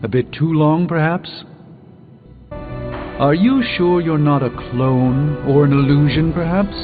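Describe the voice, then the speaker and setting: A man's voice: fairly high pitch, unhurried, close to an everyday voice. A man voicing a solemn, erudite sorcerer superhero in a video game, with formal, theatrical diction. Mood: dry, wry. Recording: lo-fi game audio under loud music.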